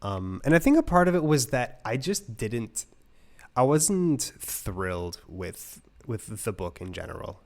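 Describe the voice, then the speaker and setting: A man's voice: medium pitch, sing-song, a little slow, a little mellow. A man in a casual review chat, explaining things in an informal, conversational way as a co-host. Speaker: audiobook review show co-host.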